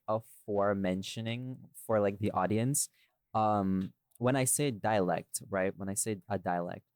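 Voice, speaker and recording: Male podcast host, lower-pitched, dry sound, rambling casual opinions with loose profanity. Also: darkish tone, no room sound. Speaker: podcast host and audio drama writer.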